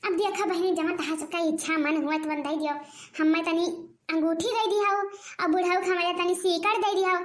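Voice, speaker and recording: Someone voicing a very shrill, bright cartoon village woman. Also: steady tone, moderate pace. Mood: gossipy haggling. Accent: Awadhi. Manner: gossipy, rustic, argumentative banter. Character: excitable rural Awadhi village women haggling over a wedding match. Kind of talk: comic cartoon village dialogue.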